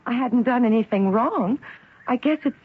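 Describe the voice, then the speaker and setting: Young female bank trainee, higher-pitched, nervous, earnest, polite, tinny radio drama recording. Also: moderate pace, husky. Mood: anxious. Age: young adult. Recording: tinny, noisy old radio broadcast recording.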